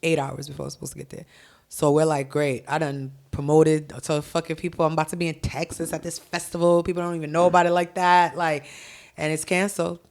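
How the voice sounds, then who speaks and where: A young adult woman from Haiti, a guest on a podcast, telling stories in an animated, profane way over a headset mic in a dark studio. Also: low-pitched, brisk. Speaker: guest, hip-hop artist. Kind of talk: casual podcast interview. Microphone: headset with boom mic.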